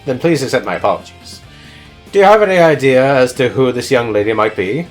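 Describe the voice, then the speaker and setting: A man voicing a courteous, formal gentleman tutor in a video game, with stiffly polite phrasing. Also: medium pitch, animated, a little fast, faint music behind, close to an everyday voice.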